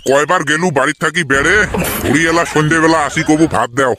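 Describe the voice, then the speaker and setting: Grumpy stingy village dad, acted by a man, older, scolding, slightly high pitch, northern Bengal accent, faint noise. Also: steady tone, fast, lightly acted. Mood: furious, mocking. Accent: northern Bengal (Cooch Behar region). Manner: gruff, scolding, coarse-mouthed. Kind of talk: comedy cartoon voice acting in rural dialect.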